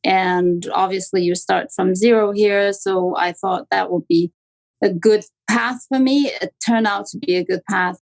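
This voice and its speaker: A woman's voice: mid-range voice, fairly even intonation, unhurried, gravelly, low-quality recording. A woman with a strong Romanian accent talks as a podcast guest. She is frank and jokes easily, in an ordinary middle-range voice. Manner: frank, joking. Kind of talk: casual interview chat.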